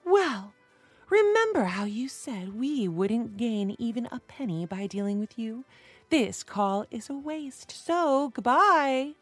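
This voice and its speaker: A woman narrator voicing a brisk young woman in a story, cool and playfully teasing. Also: a little high, animated, faint music behind, voice pushed up. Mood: cold, dismissive.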